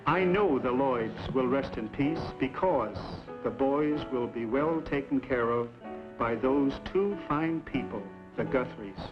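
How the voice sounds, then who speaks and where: An actor playing a meek, unctuous frontier undertaker who talks in pious, flowery, ingratiating phrases in an old western. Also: male voice, mid-range voice, measured pace, loud music behind the voice, close to an everyday voice. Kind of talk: old western screen drama acting. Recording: dull old film soundtrack.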